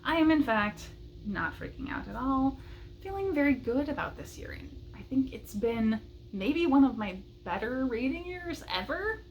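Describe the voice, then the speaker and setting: A woman's voice: high-pitched, sing-song, normal speed, a little hiss or hum, rough recording. A woman chatting casually and enthusiastically as a book vlogger, with a light chuckle in her talk.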